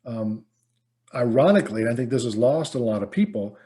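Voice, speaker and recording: Mellow American man, informal instructor. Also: mid-range voice, expressive, fairly quick. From Virginia, United States. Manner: conversational, folksy, rhetorical questions, emphatic repetition. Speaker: college instructor. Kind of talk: informal lecture answering students' questions.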